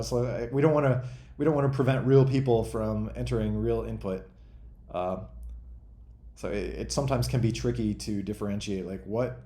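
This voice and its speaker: Echoey, casual man in his forties. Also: medium pitch. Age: 40s. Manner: rambling, self-deprecating, thinks out loud. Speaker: host and game developer answering chat questions. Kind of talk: casual freeform chat.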